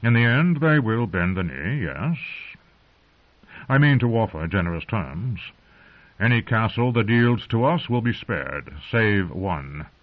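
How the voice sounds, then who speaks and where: A man voicing a stern, commanding old patriarch in a fantasy audiobook, speaking in a measured, authoritative manner. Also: lowish voice, very animated, pitched above the performer's own voice. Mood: cold, decided. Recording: narrow, phone-like lo-fi audio.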